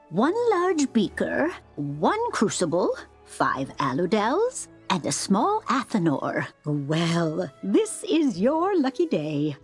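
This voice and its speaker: An actress playing an obliging, knowing crafting shopkeeper in a video game, with a measured sing-song delivery. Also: female voice, medium pitch, slightly rough, full character voice. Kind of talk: video game character dialogue.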